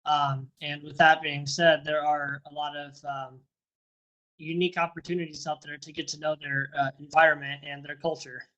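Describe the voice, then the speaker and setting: A man's voice: on the high side, level tone, moderate pace, pushed and bright, poor audio. Friendly rambling man on a video call. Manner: rambling, repeats and restarts words, friendly. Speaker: interviewee, first responder and dog handler. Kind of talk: casual interview answers.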